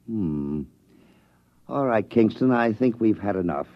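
An actor playing a brisk, skeptical senior government official with a formal, dry manner in a 1950s radio drama. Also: male voice, on the low side, animated, dry recording. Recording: muffled old-time radio recording.

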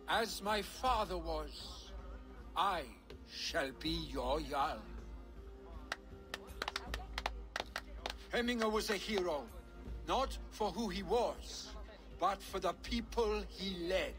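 Young Viking warrior, higher-pitched, slow solemn delivery, proud, grief-laden, game cutscene, music behind. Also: male voice. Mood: proud, rousing. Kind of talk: dramatic video game acting.

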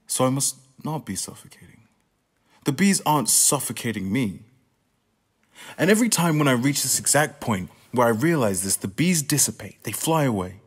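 Exasperated playwright, acted by a man, swinging pitch. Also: big pitch swings, normal speed, slightly rough. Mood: reflective, uneasy. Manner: profane, confessional, emphatic. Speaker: student actor. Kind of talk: theatrical radio play monologue.

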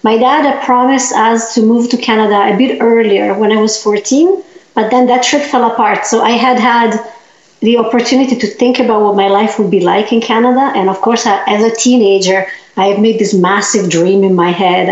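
A woman from Italy telling her life story as a guest in a casual interview, in a lively, upbeat way. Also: mid-range voice, brisk, reverberant, dull audio.

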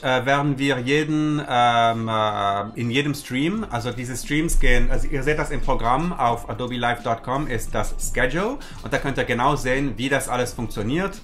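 Male host, forties, hesitant german with many fillers, studio desk mic, soft music behind. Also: mid-range voice, normal speed, few pauses. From United States. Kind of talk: livestream hosting. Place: dark studio.

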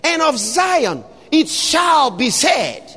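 A man preaching an impassioned sermon, shouting scripture with long drawn-out phrases. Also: very high voice, big pitch swings, big-room sound.

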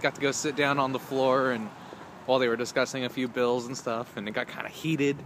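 A man's voice: medium pitch, fairly quick. Teenage boy, casual vlog, arm's-length phone mic, outdoor street noise, chatty. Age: teens. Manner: chatty and excitable, many fillers. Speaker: vlogger. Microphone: phone camera at arm's length.

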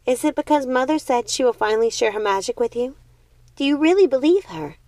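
A woman's voice: high voice, expressive, fairly quick, a little mellow, no echo, voice pushed up. A woman voicing an outraged, rebellious young witch sister in a storybook, exclaiming emphatically. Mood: accusing.

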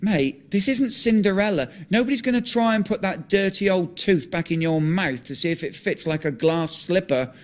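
A man's voice: sits high, normal speed, few pauses, voice pushed up, natural voice. A man doing a brash, scheming mate. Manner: brash, teasing, mouthy. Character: blunt, scheming male friend and helper.

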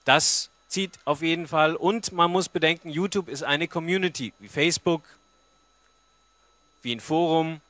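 A man's voice: on the high side, unhurried, pushed and bright, dry recording. A man in his thirties giving an informal, explanatory talk on stage into a stand microphone and answering questions. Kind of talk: informal public presentation with audience Q&A. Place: stage at a book fair forum. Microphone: handheld-type microphone on a stand.

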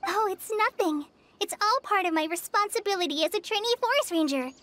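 An actress playing a shy, stammering young trainee forest ranger in a fantasy video game. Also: female voice, very high voice, lively intonation, fairly quick, fairly bright tone. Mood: bashful. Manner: timid, stammering, gushing fan. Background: game background music.

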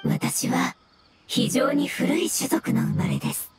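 A woman's voice: high-pitched, unhurried, loud music behind the voice. A woman voicing a courteous, scholarly mushroom creature in a game, speaking formally as if explaining. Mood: wistful. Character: polite, scholarly floating mushroom creature of an ancient race. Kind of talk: Japanese game voice acting, measured dialogue.

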